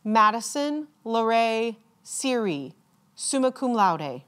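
A woman reads out names as a commencement announcer, in a formal ceremonial style with measured, deliberate enunciation and pauses. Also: on the high side, big pitch swings, fairly bright tone.

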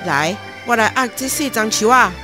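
A woman's voice: on the high side, expressive, moderate pace, music in the background, natural voice. An actress playing an obedient, honest seven-year-old boy in a radio drama, with a childlike, plain-spoken manner. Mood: eager, helpful. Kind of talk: radio drama acting in Taiwanese Hokkien.

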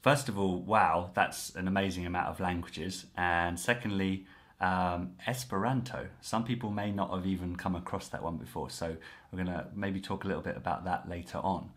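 Low-voiced man hosting a video call. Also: steady tone, moderate pace, a bit bright. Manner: friendly, with frequent fillers and restarts. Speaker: host, French coach. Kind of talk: casual interview chat. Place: room at home, indoors.

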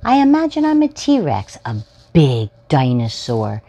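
Woman playing a patient, soothing storybook mom, very lively. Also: deep voice, measured pace, pitched above the performer's own voice, exaggerated voice. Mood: gentle, patient. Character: kind, patient, soothing mother of a small boy.

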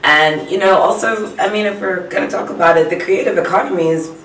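A woman's voice: on the low side, fairly quick, a bit bright, echoey room, recorded from a distance, slight background noise, rough recording. A woman in her thirties from Tanzania talking casually and earnestly as an interview guest, explaining her views. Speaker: guest singer-songwriter being interviewed. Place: small radio studio. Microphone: studio microphone on boom arm.

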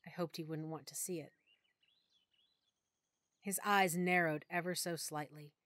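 A woman narrating a full-cast audiobook in first person, with expressive, clear storytelling. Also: low-pitched, a little slow, no room sound, clean studio sound. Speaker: narrator and lead character.

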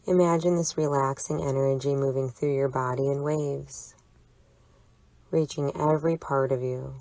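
A woman leading a guided imagery relaxation exercise, speaking in a soothing, deliberate, gently instructive way. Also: rumbling low, steady tone, no echo. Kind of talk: guided imagery meditation narration.

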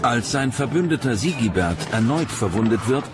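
A man's voice: medium pitch, lively intonation, normal speed. A man reading scripted narration for a history documentary. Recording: music bed behind narration.

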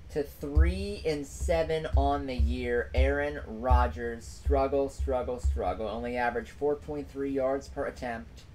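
A man's voice: medium pitch, darkish tone, a little hiss or hum, rough recording. A young man hosting a solo sports stream from a small room at home, recapping the day's football games with emphatic repetition into a desk mic. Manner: emphatic, repeats phrases for effect.

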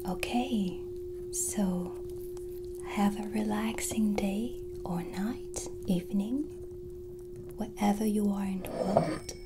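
Female ASMR host, soft close whisper, very slow delivery, clear humming noise behind. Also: medium pitch. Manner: gentle, hushed, unhurried. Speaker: ASMR host in a witch roleplay. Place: small dim indoor studio. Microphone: close mic.

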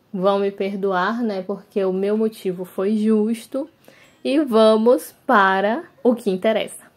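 Young woman, warm low-key tone, friendly confiding voice-over narrator. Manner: chatty, confiding, relaxed. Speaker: bullet journal vlogger. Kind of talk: voice-over narration of a craft video.

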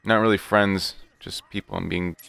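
A man's voice: deep voice, steady tone, normal speed, gravelly, fairly bright tone. A man giving casual, flippant gameplay commentary, joking and boasting with easy swearing. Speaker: gaming video host.

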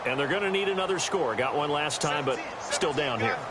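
A man's voice: fairly high pitch, lively intonation, a little fast, natural voice. A man playing an American TV football play-by-play announcer for a video game, polished and upbeat. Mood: measured, professional. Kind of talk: sports play-by-play commentary. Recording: game audio under loud stadium noise. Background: loud stadium ambience of a football game.

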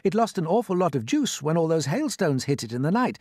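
A man voicing a brave, earnest young boy in a storybook, urgent and excitable. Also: on the high side, a little fast, no echo, studio quality, pitched above the performer's own voice. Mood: commanding, eager.

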